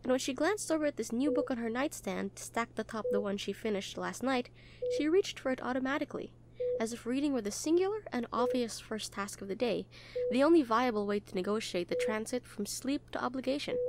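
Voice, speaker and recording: A woman reading a literary novel aloud with expressive phrasing, as a voice actor. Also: a little high, fairly quick, slight background noise.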